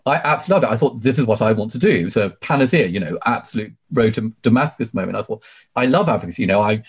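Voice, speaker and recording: A British man in his forties talks as an interview guest in a fairly low voice. He is talkative and emphatic, telling his own story conversationally and often dropping in "you know". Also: expressive, rapid-fire, breathy edge. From UK, born in Sri Lanka. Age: 40s. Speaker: podcast guest, barrister.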